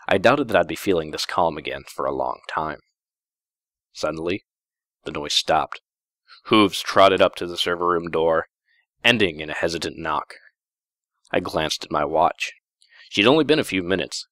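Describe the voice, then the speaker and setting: Low-voiced man reading fiction, measured. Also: fairly bright tone, no room sound, studio quality. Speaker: story narrator. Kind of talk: dramatic first-person fiction reading.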